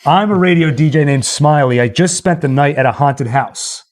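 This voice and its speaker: A man's voice: fairly high pitch, normal speed. A man hosting a stream, casually explaining a radio play's scenes to viewers. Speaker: stream host narrating a radio play.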